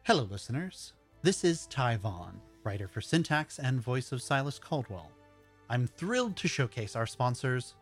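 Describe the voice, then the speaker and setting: A man delivering a scripted sponsor read for a podcast. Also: medium pitch. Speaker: podcast writer and voice actor.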